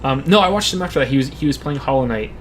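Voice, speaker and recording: Male streamer, mid-pitched, stop-start casual chat with frequent restarts. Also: expressive, a little hiss or hum. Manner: halting, repeats words while thinking; plays a driving game while talking. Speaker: streamer answering viewer questions.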